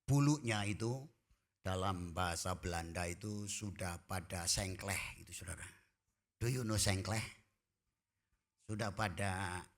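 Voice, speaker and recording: Bright-voiced preaching man, booming peaks. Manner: swings from quiet asides to loud shouted emphasis; folksy, jokes with the congregation. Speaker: pastor. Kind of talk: sermon. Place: church.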